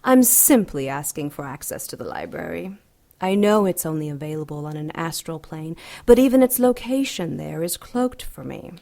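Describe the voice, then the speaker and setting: A woman playing an ancient, seductive and coolly menacing demon goddess in an audio drama, silky, deliberate and mocking. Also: deep voice, sing-song, creaky, dark tone, exaggerated voice. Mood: insistent.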